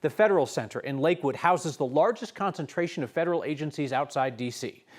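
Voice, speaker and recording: Higher-pitched American male news anchor. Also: quick pace. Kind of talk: scripted news narration.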